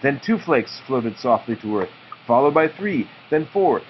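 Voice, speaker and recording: A man reading a children's picture book aloud to his child in a slow, drawn-out storytelling way, with informal asides. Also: medium pitch, sing-song. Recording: muffled home recording with background noise.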